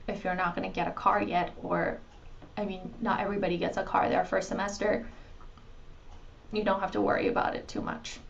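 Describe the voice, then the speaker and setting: A woman presenting to new international students in a casual, conversational way, pausing with ums and restarting her sentences. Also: medium pitch, vocal fry, dull audio.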